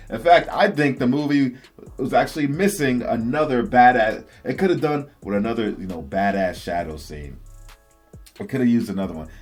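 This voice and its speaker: A man's voice: mid-range voice, darkish tone, husky. A man hosting a vlog, giving casual, enthusiastic opinion commentary to viewers in slangy fan talk. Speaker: host of a video game and movie news channel. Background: background music.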